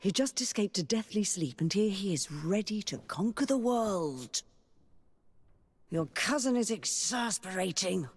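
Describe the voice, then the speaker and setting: A woman's voice: medium pitch, expressive, a little slow, breathy edge. An actress playing a sensible, exasperated lady caretaker in a fantasy game, speaking in a dry, formal, scolding manner. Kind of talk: dramatic fantasy game acting.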